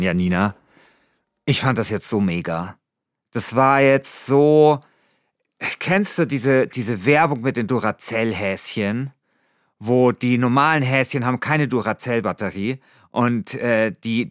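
A man's voice: mid-range voice, no room sound, studio quality. A man hosting a show, moving between narration and casual conversation. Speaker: podcast host.

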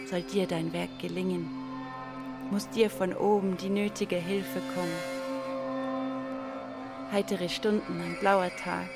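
A woman reciting poetic text in a measured, deliberate way for an audio biopic. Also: medium pitch. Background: instrumental music.

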